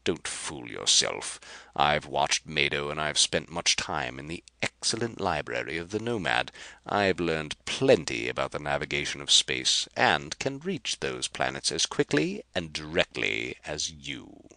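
Man voicing a gloating alien villain, slow and sneering. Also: a bass voice, big pitch swings, creaky, sharp tone, no room sound, heavily acted. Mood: menacing.

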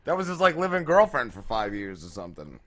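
A man's voice: fairly low pitch, huge pitch swings, quick pace, a crowd in the background. A man co-hosting casual comedy banter, crude and foul-mouthed, with an incredulous way of reacting. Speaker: podcast co-host.

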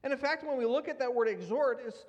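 A man's voice: extremely high pitch, fast, dull audio. A man preaching a sermon, earnest and emphatic as he works through scripture readings. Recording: long reverb of a large hall.

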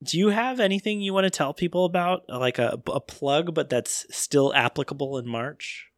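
A man hosting a podcast in a casual conversational style, easygoing and a little halting. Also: on the high side, no echo, clean studio sound. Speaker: podcast host.

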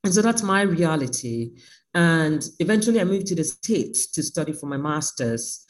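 A woman artist speaking by phone in an interview, reflective and sometimes joking, with lively emphasis. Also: deep voice, normal speed, rough recording. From Nigeria.

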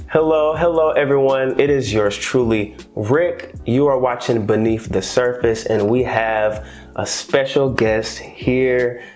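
Male interview host, average pitch, informal and slangy, faint music underneath. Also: takes it slowly, darkish tone. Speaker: show host. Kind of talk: casual interview chat.

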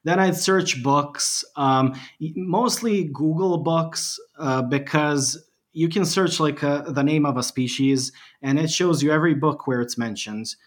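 A man's voice: fairly high pitch, unhurried. A man from Bosnia and Herzegovina, a podcast guest chatting animatedly and mocking himself with frequent laughs. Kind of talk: casual interview chat.

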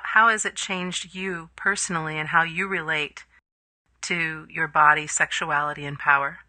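A woman's voice: lowish voice, bright, forceful tone, no echo. A woman hosting a casual interview, asking questions and affirming her guest. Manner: supportive, affirming listener. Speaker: interview host.

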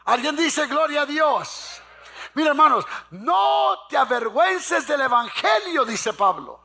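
A male preacher delivers a fervent sermon in a very high, forceful voice with a heavy rasp. He exhorts and repeats his phrases for emphasis, prompting the congregation to answer him. His delivery is slow and weighty. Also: very animated, soft background music.

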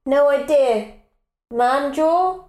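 A woman's voice: sits high, slow pace, a little mellow. A woman playing a busy mother of four in an audio drama, wry, patient and dry in her delivery. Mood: dry, nonchalant. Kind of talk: naturalistic audio drama dialogue.

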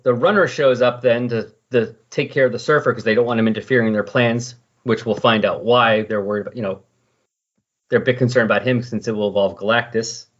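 Husky man chatting on a podcast. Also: mid-range voice, steady tone, a little fast. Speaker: podcast host. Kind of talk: casual comic book discussion. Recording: dull lo-fi voice recording.

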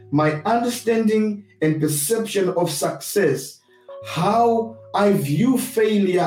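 A man from Zimbabwe with a Zimbabwean accent gives a reflective, sermon-like talk, deliberately restating his points for emphasis. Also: high voice, darkish tone. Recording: roomy echo, distant mic, music behind.

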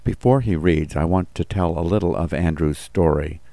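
A man's voice: low-pitched, moderate pace, creaky, dark tone, dry recording. A man reading scripted devotional commentary and scripture as a pastor.

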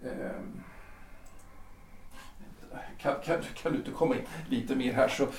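A man's voice: mid-range voice, creaky, a little hiss or hum, lightly acted. A man acting an anxious, insistent middle-aged husband in a period audio drama, hesitant and repeating his words. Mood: worried, coaxing. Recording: roomy echo, distant from mic.

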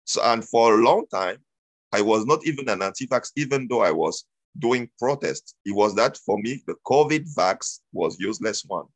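A man's voice: on the low side, expressive, normal speed, no echo. A man, telling his story as an interview guest, hesitant with many fillers and restarts. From Cameroon.

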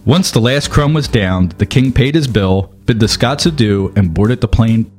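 A man's voice: lowish voice, lively intonation, normal speed, faint music behind. A man reading scripted comic narration as a podcast narrator.

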